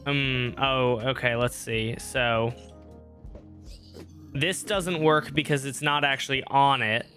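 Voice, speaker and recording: A man's voice: medium pitch, steady tone, takes it slowly, bright, forceful tone, faint music behind. A young man streaming a game, rambling and joking at himself as he thinks aloud. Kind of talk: casual live-stream gameplay talk. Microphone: streaming microphone.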